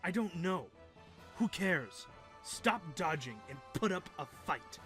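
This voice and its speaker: A brash, hot-headed young fighter, acted by a man, with a fairly high voice and impatient retorts. He speaks at a slow pace, and faint music plays behind him in a fan radio drama. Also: very animated, full character voice. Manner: impatient, brash, shouts battle cries.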